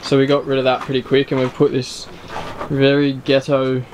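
A man in his thirties hosting a casual sailboat tour, explaining things in a relaxed way with plenty of fillers, recorded outdoors on deck. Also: medium pitch, normal speed, background noise. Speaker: sailing vlog host. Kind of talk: casual boat tour walkthrough.

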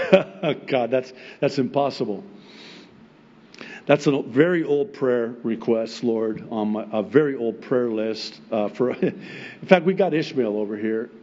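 Middle-aged pastor preaching, often chuckling. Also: male voice, medium pitch, unhurried, dull audio. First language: Arabic. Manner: animated, chuckling often, acts out God's replies. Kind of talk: sermon. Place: church stage with wood pulpit and plants, indoors. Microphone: pulpit microphone.

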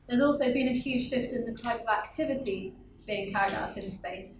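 A woman in her thirties giving an explanatory talk on a panel, speaking clearly with frequent ums. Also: medium pitch. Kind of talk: expert presentation. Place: conference room with large windows. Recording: echoey room, distant mic, faint hiss.

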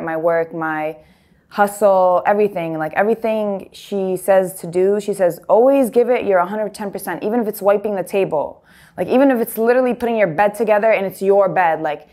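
Young american woman, lower voice, earnest reflective interview chat. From United States. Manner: earnest, reflective, talks with her hands. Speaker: musician guest. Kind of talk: casual interview answers. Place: studio with blue backdrop, indoors.

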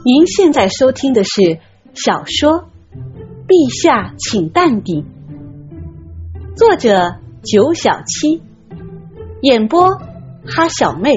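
A woman announces an audiobook in a scripted style, high and melodic in pitch. She speaks slowly over soft plucked guitar, in a slightly dull recording. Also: sing-song. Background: plucked guitar music.

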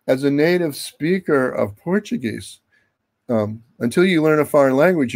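Gravelly older man talking academically. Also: mid-range voice, a little mellow. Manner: discursive, hedging with frequent asides. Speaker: academic speaker. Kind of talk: extemporaneous academic discussion. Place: book-lined study at home, indoors. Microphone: computer mic on a video call.

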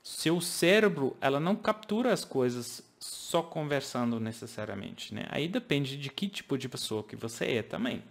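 A man's voice: mid-range voice, expressive, normal speed, creaky, few pauses. Foreign-accented man teaching to webcam. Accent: English-speaking foreigner speaking Portuguese. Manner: earnest, explaining with hand gestures, makes grammar slips. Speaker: English teacher. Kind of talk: casual video lesson to camera. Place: home office with shelves.

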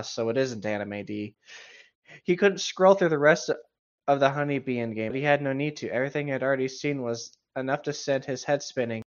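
A man reads a story aloud in a casual, conversational way at a middling pitch. He drops into sarcastic, mocking asides with some swearing. The recording is slightly muffled and lo-fi. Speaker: co-host reading a story aloud with running commentary. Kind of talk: casual riffing read-aloud.

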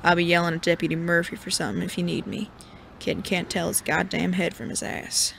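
An actor playing a cocky, foul-mouthed young sheriff in a Western audio drama, with a creaky voice and folksy, combative frontier talk. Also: mid-range voice, level tone, fairly quick, fairly bright tone, slight background noise. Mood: gruff, smug.

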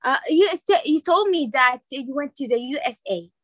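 A young Egyptian woman with an Egyptian accent hosting an interview on a video call, excitable and full of exclamations. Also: high-pitched, moderate pace, no echo, studio quality. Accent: Egyptian Arabic. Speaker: host. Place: small room indoors. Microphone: laptop webcam on a video call.

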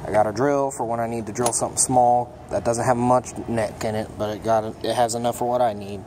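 A young man of middle pitch, chatting easily about his gear, with frequent ums and uhs. He films on a handheld phone inside a repair shop, and a steady hum of air conditioning and passing vehicles sits clearly behind him. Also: fairly quick. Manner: relaxed, plainspoken, many ums and uhs. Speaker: mechanic giving a toolbox tour. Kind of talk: casual show-and-tell vlog. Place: auto repair shop, indoors. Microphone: phone held in hand, filming first-person.

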